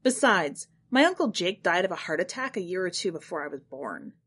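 A guarded, skeptical grown son in an audio short story, read by a woman. The delivery is dry and matter-of-fact in its wording, yet the pitch moves expressively. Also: very animated, fairly quick, fairly bright tone, husky, full character voice. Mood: dry, contradicting. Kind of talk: acted dialogue in a short story reading.

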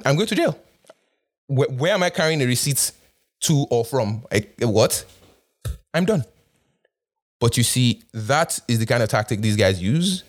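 A young man from Nigeria with an accent shaped by both Nigeria and North America, talking casually with fillers and restarts. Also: mid-range voice. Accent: Nigerian with North American influence. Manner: conversational, with frequent fillers and repeated restarts. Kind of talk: casual monologue.

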